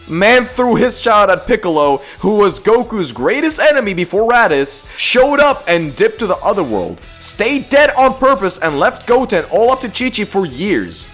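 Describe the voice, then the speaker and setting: Animated, theatrical YouTube ranter over music. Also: medium pitch. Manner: theatrical, exaggerated delivery with drawn-out words and outbursts. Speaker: YouTube host. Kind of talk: comedic scripted rant commentary. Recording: music behind the voice. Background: background music.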